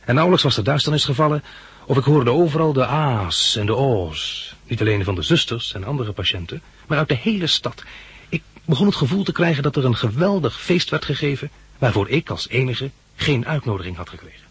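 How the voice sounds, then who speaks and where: Husky, wry male radio-play narrator. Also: medium pitch, sing-song, brisk, fairly bright tone, no echo, full character voice. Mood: reflective narration. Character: bandaged male hospital patient and narrator, wry, sardonic. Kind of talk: 1960s radio drama, narration and dialogue.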